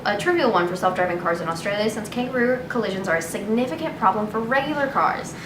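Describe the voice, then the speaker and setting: A young adult woman, hosting in a casual comedic style, chatting and reading news articles aloud with informal, giggly delivery. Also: fairly high pitch. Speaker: host reading news headlines. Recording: roomy echo with clear background noise.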